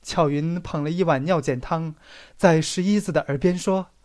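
Audiobook narrator, literary story reading, expressive, stresses dramatic phrases, mid pitch. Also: normal speed.

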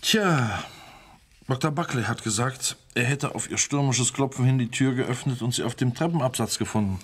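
An actor playing an unruffled village police inspector in a German radio crime drama, polite and dryly sceptical. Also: male voice, mid-range voice, normal speed, slightly rough, close to an everyday voice.

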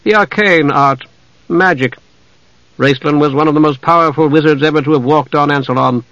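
Dark elf wizard, played by a man: male, soft-spoken menace, measured, icy, fantasy audiobook, close dry sound. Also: a little high, dry recording, muffled recording, close to an everyday voice. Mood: measured, probing. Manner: quiet, measured, sardonic.

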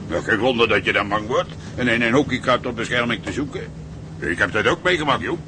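A man plays a fatherly ship's cook in a radio drama. He talks in a folksy, soothing manner with a pitch that swings freely, over clear rumbling noise. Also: expressive, brisk, natural voice. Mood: comforting. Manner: folksy, reassuring, chuckling. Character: kindly, fatherly old-hand ship's cook. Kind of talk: Dutch radio drama acting.

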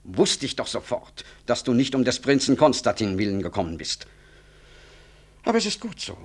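An actor playing a self-important, middle-aged government minister in a radio drama, speaking formally and rhetorically. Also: male voice, mid-range voice, big pitch swings, normal speed. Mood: knowing, affronted. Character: self-important male government minister, smooth, self-justifying old friend. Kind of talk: 1960s German radio drama acting.

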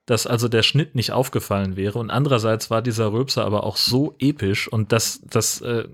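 A man's voice: on the low side, fairly quick, slightly rough. A man co-hosting a film podcast with an informal, conversational style. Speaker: podcast co-host. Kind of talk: casual film podcast chat.

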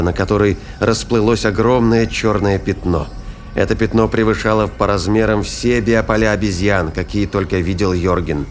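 A man's voice: fairly low pitch, slight background noise. A man giving scripted, dramatic narration of a science fiction story as its narrator. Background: music.

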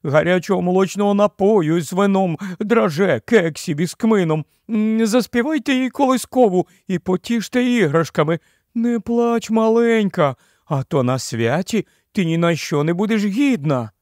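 Male narrator as a storybook giant queen, high-pitched, fussy, cooing, swooping intonation, dry close sound. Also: expressive, normal speed, darkish tone, dry recording. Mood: fussing, cooing. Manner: exclamatory, cooing, repetitive fussy commands. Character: enormous fat giant queen, gushing, fussy, easily horrified. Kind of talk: acted audiobook storytelling.

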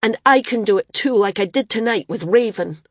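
A woman voicing a tearful, defiant young boy who shouts in raw, pleading bursts. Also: fairly high pitch, brisk, no echo, studio quality, voice pushed up, lightly acted.

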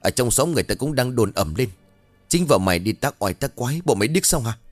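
A man's voice: on the low side, lively intonation, a little fast, no room sound, faint music behind. A man voicing a hot-headed, vengeful grieving son in a horror story, snarling accusations in a Southern Vietnamese accent. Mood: furious, accusing. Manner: snarling, shouting accusations through clenched teeth.

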